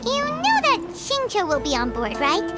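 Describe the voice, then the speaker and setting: Casting: childlike game fairy guide, very high and animated, exclaims a lot, music behind. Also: female voice, normal speed, heavily acted. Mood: cheerful, chatty. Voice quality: squeaky, childlike. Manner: excitable, exclaiming, refers to self in third person. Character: tiny excitable childlike female fairy companion, chatty guide. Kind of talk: video game voice acting.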